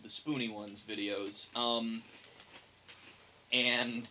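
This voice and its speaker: A man's voice: medium pitch, flat delivery, very slow, pushed and bright, slight background noise, rough recording. A young man talking casually as a podcast co-host, blunt and mock-serious. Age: young adult. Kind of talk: casual opinionated chat.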